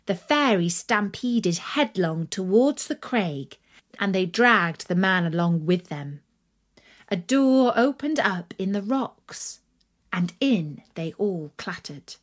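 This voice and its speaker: A woman narrating a children's fairy tale in an expressive, deliberate storytelling manner. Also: medium pitch.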